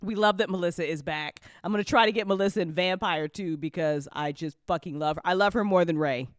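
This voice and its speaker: A woman's voice: lowish voice, a little fast, no room sound, clean studio sound. A woman hosting a casual after-show chat, talking in an emphatic, gushing, sweary way. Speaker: host and audio drama writer.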